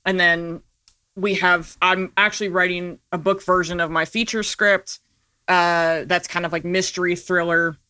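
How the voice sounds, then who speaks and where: Bright-voiced woman chatting casually. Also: lowish voice, steady tone, unhurried, smooth. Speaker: podcast guest and filmmaker. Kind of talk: casual interview chat.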